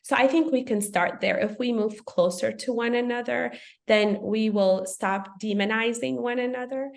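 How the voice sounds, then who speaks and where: A woman's voice: on the high side, normal speed, vocal fry. A woman from Ethiopia, a podcast guest, speaking in a thoughtful, earnest way with frequent fillers. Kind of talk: podcast conversation.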